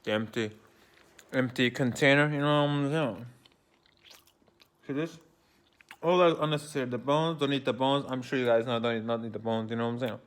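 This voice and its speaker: A man's voice: medium pitch, fairly even intonation, brisk, pauses often. A man from Azerbaijan with a mixed British and American accent, ranting casually and repetitively to camera in slangy English. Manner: chatty, repetitive, slangy, frequent "you know what I'm saying". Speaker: vlogger.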